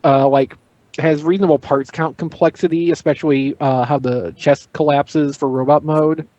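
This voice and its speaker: Guy on a podcast panel, slightly high voice, casual chat, very dry audio. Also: fairly even intonation, moderate pace, darkish tone, dry recording, dull audio.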